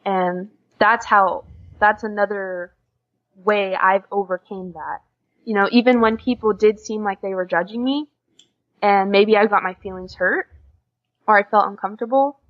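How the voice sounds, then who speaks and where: A young American woman talking casually in an interview, giving earnest, encouraging advice. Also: medium pitch. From United States. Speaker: podcast guest, exchange student. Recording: dull lo-fi podcast recording.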